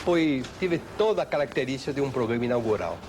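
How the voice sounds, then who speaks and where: Brazilian male, candid and blunt. Medium pitch, emphatic repetitions, clearly noisy old broadcast tape. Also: animated, fast talker. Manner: blunt, emphatic, repeats phrases. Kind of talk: candid reminiscence.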